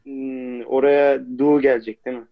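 A man's voice: mid-range voice, little pitch movement, moderate pace, dry recording. A teenage boy with a Turkish accent reading a class exercise aloud over a video call, hesitant. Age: teens. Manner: hesitant, asks teacher questions. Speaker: student. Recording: dull lo-fi call audio.

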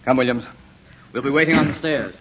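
An actor playing a calm, wry, courteous detective in a radio drama, measured and quietly firm. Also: male voice, medium pitch, husky, natural voice. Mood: calm, concerned. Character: calm, dry, quietly insistent detective, gently protective. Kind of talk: 1940s radio drama acting. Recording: dull vintage radio recording.

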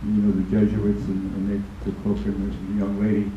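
A man's voice: fairly low pitch, completely flat delivery, a little fast, a little hiss or hum. An older man, a priest, standing in an audience and speaking earnestly into a handheld mic, stumbling over repeated words. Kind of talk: audience comment at Q&A. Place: large conference hall. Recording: dull echoey hall.

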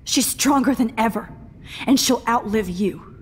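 A woman's voice: a little high, unhurried, natural voice. A woman acting a defiant, angry teenage captive in a video game, bitter, terse and accusing. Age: young adult. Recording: long echoey reverb, faint hiss.

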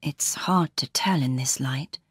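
A woman's voice: fairly low pitch, normal speed, no echo, clean studio sound, lightly acted. A woman playing a grave, protective heroine. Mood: guarded. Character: protective, remorseful sister figure, steady and grave.

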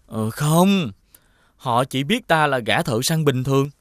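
Lively young cartoon prince. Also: male voice, mid-range voice, slow pace, no room sound, clean studio sound. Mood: resolute. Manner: earnest, expressive. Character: earnest young prince posing as a hunter. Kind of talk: Vietnamese animated fairy tale dubbing.

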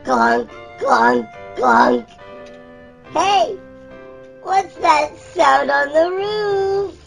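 A man voicing a small gentle alien who reads a children's storybook slowly and haltingly, croaky and breaking into squeaks, over instrumental music. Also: lively intonation, exaggerated voice. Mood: excited. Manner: slow, halting, childlike storytelling with swooping pitch. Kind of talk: storybook reading in a character voice.

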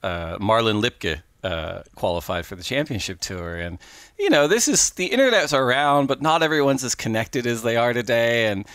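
Gravelly middle-aged male podcast host. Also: medium pitch, normal speed, no echo, clean studio sound. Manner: relaxed, conversational, animated storytelling. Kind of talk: casual interview over video call. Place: home office indoors. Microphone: headset mic.

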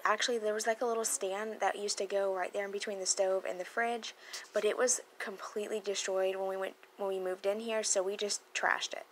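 A woman's voice: medium pitch, a little hiss or hum. A young woman, a cleaning vlogger, casually talking over her footage and explaining what she is doing around the house. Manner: chatty, explains as she goes.

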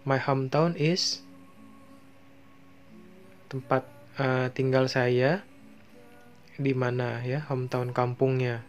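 A man with an Indonesian accent teaching basic English phrases over slides, reading each phrase and translating it, with frequent fillers. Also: medium pitch. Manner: slow, explains each phrase then translates it, with many fillers. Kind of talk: bilingual lesson over slides.

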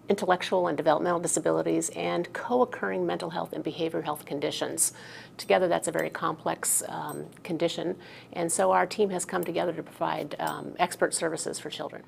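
A woman's voice: on the low side, creaky, slight background noise. A woman, speaking earnestly as an executive being interviewed. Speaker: chief executive, interview guest. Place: indoor office room, television shoot.

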